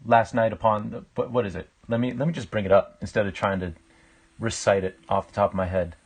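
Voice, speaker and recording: A man, chatting casually with livestream viewers in a reflective, halting way as he thinks aloud. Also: fairly low pitch, steady tone, slightly rough. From Canada. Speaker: live-streaming painter. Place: small indoor room with a painting on the wall. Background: music.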